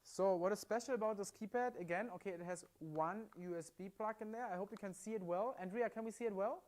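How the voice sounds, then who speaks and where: A man's voice: sits high, fast, darkish tone. An upbeat man with a German accent walks viewers through a product on a live stream. He explains step by step and keeps checking in with little "yeah?" tags. His mic is clipped at his side. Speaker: livestream product presenter. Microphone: mic worn at his side.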